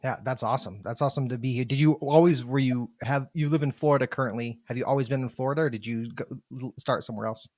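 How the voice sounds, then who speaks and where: A man hosts a podcast interview over a remote call, upbeat and effusive with long, rambling questions. His voice sits at an average pitch and sounds dry and close, with no room around it. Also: fairly even intonation, fast talker, hardly any pauses, darkish tone, dry recording. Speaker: podcast host. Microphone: remote, video call.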